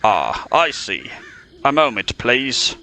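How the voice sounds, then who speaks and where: A man playing a tall, dour orcish butler in a tabletop audio drama, speaking with formal, unhurried courtesy. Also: mid-range voice, very animated, pushed and bright, heavily acted. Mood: dour politeness.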